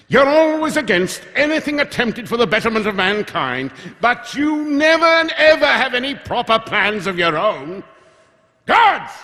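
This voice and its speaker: Pompous, scheming official, wildly swooping delivery. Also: male voice, high-pitched, sharp tone, full character voice. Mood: blustering, triumphant. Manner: stammering, blustering, self-important. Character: nervous, pompous, scheming male official turned villain. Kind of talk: live radio theatre acting. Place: live stage in a hall. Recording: reverberant hall, live stage performance.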